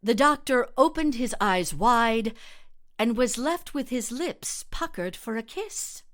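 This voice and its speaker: A woman actor narrating a literary short story in a measured, dramatic reading style. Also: on the high side, animated, a bit bright.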